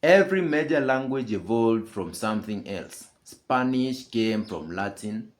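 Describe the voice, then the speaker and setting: A man hosting a commentary channel, speaking in a measured, explanatory scripted style. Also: fairly low pitch, lively intonation. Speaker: channel host.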